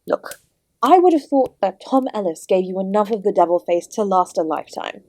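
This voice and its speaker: A woman's voice: mid-range voice, lively intonation, normal speed, mellow, no echo. Woman playing a suave, teasing female Devil, dry close sound. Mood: amused, proud. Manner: genteel, teasing, theatrical, calling the listener "my dear". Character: charming, sardonic female Devil, ancient and courteous host. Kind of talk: scripted audio roleplay, addressing the listener directly.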